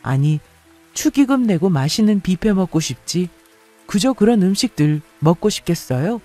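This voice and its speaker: A man's voice: fairly high pitch, mellow. A man reading a scripted first-person story as a dramatic radio-style narrator.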